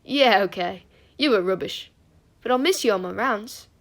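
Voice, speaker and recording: A woman acts out a friendly teenage schoolgirl in a storybook. She speaks with gentle concern, coaxing and soft-hearted, in a natural female pitch. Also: lively intonation, normal speed, close to an everyday voice. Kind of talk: acted audiobook dialogue.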